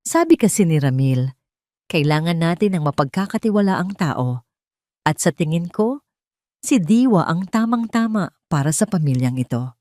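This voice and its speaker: A woman acts out a scheming wife in an audio drama. She sounds sweet but deliberate and pointed, in a lowish voice on a very dry recording. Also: expressive, a little mellow, dry recording, lightly acted. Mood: sweetly probing. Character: scheming wife, outwardly sweet, coolly probing.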